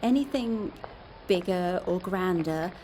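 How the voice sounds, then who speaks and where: Investigative podcast reporter: lower register, conversational, candid, dry first-person storytelling. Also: female voice, lively intonation, slow pace, darkish tone, slight background noise. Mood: reflective. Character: curious, candid female podcast reporter narrating her investigation. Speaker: reporter and narrator.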